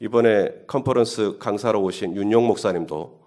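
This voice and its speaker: A man's voice: lowish voice, moderate pace, darkish tone. A male pastor preaching a Holy Week sermon. He talks in a conversational way and builds up to emphatic questions. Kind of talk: sermon at early-morning Holy Week service.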